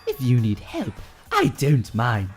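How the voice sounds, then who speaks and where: Woman as a low, animated, serious teenager. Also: a little slow, over music, full character voice. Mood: concerned. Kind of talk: anime game fan dub.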